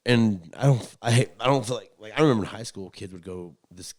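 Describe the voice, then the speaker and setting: A man's voice: lowish voice, fairly quick. A young man chatting casually as a podcast host, telling rambling stories in slangy, profane talk. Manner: slangy, profane, animated when acting out quotes. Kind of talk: casual rambling storytelling chat.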